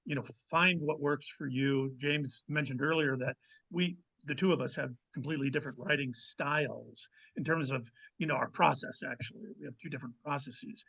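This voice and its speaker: A man's voice: mid-range voice, a little fast, gravelly, bright, forceful tone, highs cut off. A man speaking casually as an author guest in an interview, giving emphatic advice and repeating words as he goes.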